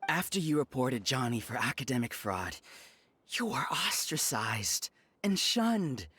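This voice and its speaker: An actor playing a smooth-talking, manipulative schemer in a video game, silky and persuasive. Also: male voice, mid-range voice, sing-song, a little slow, sharp tone, a little hiss or hum.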